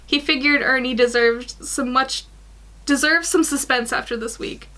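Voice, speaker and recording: A woman's voice: high voice, fairly even intonation, normal speed, pushed and bright. A young woman reading fan fiction aloud in a small room, now and then tripping over her words. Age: young adult. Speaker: guest story reader. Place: small attic room indoors.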